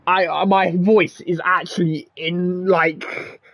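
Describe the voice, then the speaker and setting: A man who commentates races, his voice hoarse and strained from shouting, rambling and stammering through a casual wrap-up. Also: high voice, little pitch movement, slow pace, no echo. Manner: stammering, rambling, restarts often; swears, laughs at himself. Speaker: race commentator. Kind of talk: casual post-race wrap-up. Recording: dull lo-fi mic.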